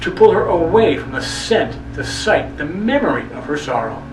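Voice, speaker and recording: A man with a fairly high voice performs a brooding literary monologue, swooping widely in pitch. His phrasing is theatrical and deliberate. The sound is echoey, with music underneath. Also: very animated, recorded from a distance. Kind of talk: dramatic literary monologue narration.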